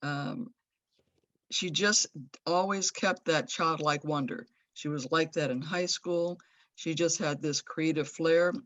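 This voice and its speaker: A woman's voice: deep voice, slow, muffled recording. A woman in her sixties from Los Angeles, sharing fond, rambling memories of an old friend over a video call. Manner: warm, rambling, with fond laughs. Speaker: memorial speaker. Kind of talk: personal remembrance. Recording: dry video call audio.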